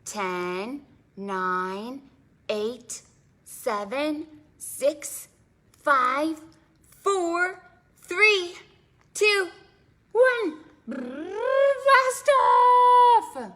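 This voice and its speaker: A woman hosting a preschool storytime, reading aloud in an animated, sing-song way with dramatic emphasis and questions for the children. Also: high voice, very slow, pauses often. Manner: prompts listeners with questions. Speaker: children's librarian and storytime host.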